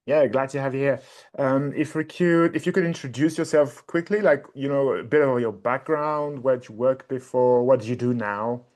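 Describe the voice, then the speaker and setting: A man's voice: medium pitch, normal speed, darkish tone. A man hosting a podcast interview, asking loosely phrased, friendly questions. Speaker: podcast host.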